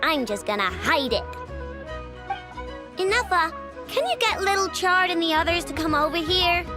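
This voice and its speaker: Very high, excitable girl inventor. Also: moderate pace, over music. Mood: cocky, carefree. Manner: exclamatory, bubbly, boastful. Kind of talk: video game voice acting.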